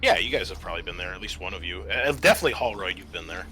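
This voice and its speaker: Bright-voiced man running a tabletop game. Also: deep voice, expressive, very fast. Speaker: game master. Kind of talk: explaining a tabletop sci-fi game casually. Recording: noticeable background noise.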